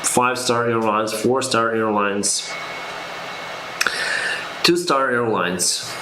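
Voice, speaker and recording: A man from Ukraine with a Russian accent, an airline pilot answering viewer questions on a live stream, speaking slowly with many fillers. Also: mid-range voice, reverberant, background noise. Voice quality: slow, halting speech with fillers.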